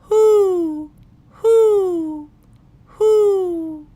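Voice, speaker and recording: A woman imitating an owl's call in a storybook reading, with long, loud, spaced hoots. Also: extremely high pitch, extremely slow, no room sound, voice pushed up, exaggerated voice. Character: owl call, hooted by a father and an owl.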